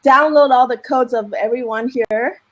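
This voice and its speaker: Excited chatty woman from Malaysia. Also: on the high side, normal speed, rough recording. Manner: excited, chatty, laughs easily. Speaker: call participant. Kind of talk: casual group video call.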